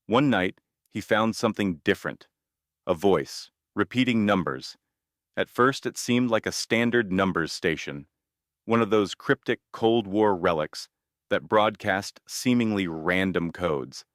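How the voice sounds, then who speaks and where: Low-voiced man narrating horror, close and dry. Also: a bit bright, no echo. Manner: measured, ominous storytelling in short phrases. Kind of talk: scripted scary-story narration.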